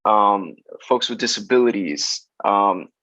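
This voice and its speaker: A man from Los Angeles whose first language is Spanish, a casting director speaking as a guest in a conversational interview, measured and reflective, often ending his points with "right?". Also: fairly low pitch, bright, forceful tone, no room sound, dull audio. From Los Angeles, United States.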